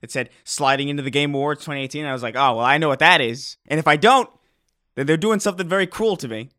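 A young man co-hosting a podcast in casual chat, talking excitably and restarting his sentences often. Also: a little high, quick pace. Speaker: podcast co-host.

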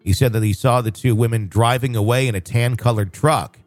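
A man's voice: mid-range voice, steady tone, a little fast, dry recording, faint music behind. A man hosting a casual podcast, rambling with fillers and restarts. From northwest Arkansas, USA. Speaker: podcast host.

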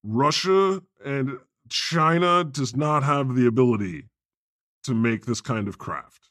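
A man's voice: medium pitch, animated, slow pace, a bit bright, dry recording, studio quality. Man playing a brash, sweary God, closely miked. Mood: emphatic, incredulous. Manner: emphatic, sweary, insistent. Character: God, brash, foul-mouthed, know-it-all male deity hosting. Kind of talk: comedic podcast banter.

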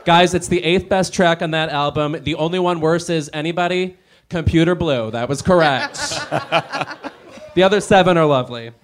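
A man's voice: on the high side, moderate pace, noisy background. A man co-hosting a podcast, running a comedic quiz in a playful, self-mocking way. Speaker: podcast co-host.

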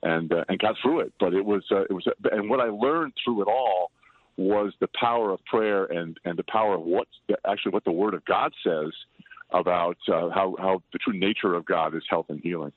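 An older man chatting as an interview guest, loud-voiced, rambling and self-deprecating. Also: low-pitched, normal speed, no room sound. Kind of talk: casual interview chat. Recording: tinny dry phone line.

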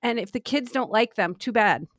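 A woman's voice: a little high, normal speed. A woman telling a rambling, self-deprecating story in a casual podcast chat. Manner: chatty, self-deprecating, many fillers. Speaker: podcast co-host, screenwriter.

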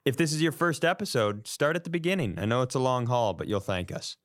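A man's voice: mid-range voice, expressive, quick pace. An American man co-hosting a comedy podcast with casual, profane banter and a mock-indignant manner.

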